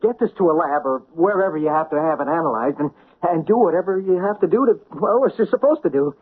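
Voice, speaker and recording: A man's voice: on the high side, expressive, fast talker, slightly rough. An actor playing a nervous, flustered man under threat, stammering and anxious. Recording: muffled old radio broadcast.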